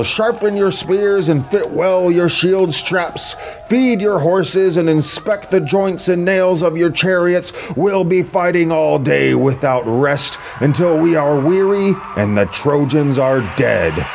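A male actor plays a commanding Greek war king in an audio drama. His voice is somewhat high and he declaims with formal authority. A slight ambient noise lies behind him.

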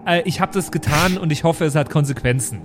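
A man's voice: a little high, brisk, faint music behind. A man playing a role-playing game, bantering casually in a rambling, stubborn way.

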